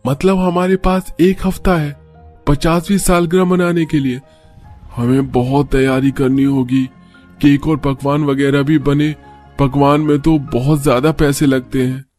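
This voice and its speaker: A man's voice: fairly high pitch, little pitch movement, a little slow, a little mellow, faint music behind, lightly acted. A man playing a practical, peacemaking son and husband in scripted story dialogue. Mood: concerned.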